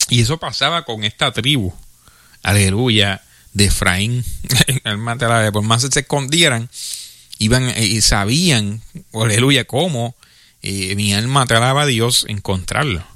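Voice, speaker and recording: A man with a Puerto Rican accent preaching a sermon, reading scripture aloud and explaining it, filling his pauses with hallelujahs and chuckles. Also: medium pitch, bright, forceful tone, dry recording. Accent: Puerto Rican Spanish. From Puerto Rico. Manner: fills pauses with "hallelujah" and "glory to God", chuckling often.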